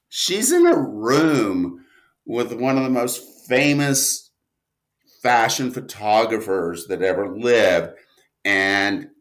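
A man with a fairly low voice speaks very slowly as an interview guest. His talk is casual and anecdotal, coming out in thoughtful, broken phrases with dramatic pauses. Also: a bit bright. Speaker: writer and producer, interview guest.

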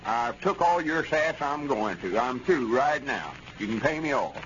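A man's voice: medium pitch, animated, normal speed, a bit bright, close to an everyday voice. An actor playing a proud, stubborn country man with a rural Southern accent in a 1930s radio comedy. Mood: indignant, defiant. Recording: scratchy, muffled 1930s radio recording.

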